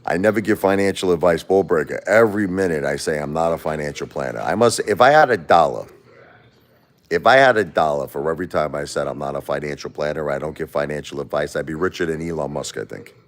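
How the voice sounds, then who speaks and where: A man with a very low voice chats on his home livestream. He talks bluntly, doubles back on his words and argues with critics in the chat. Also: fast. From Brooklyn, New York. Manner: blunt, repeats himself, spars with critics. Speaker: livestream host. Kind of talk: casual livestream chat answering viewers.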